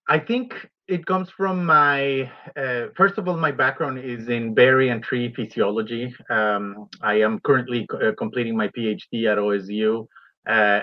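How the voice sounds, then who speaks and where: A man from Ecuador, a university professor, explaining his work as a radio interview guest in a halting, pause-filled way. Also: lowish voice, no echo, highs cut off.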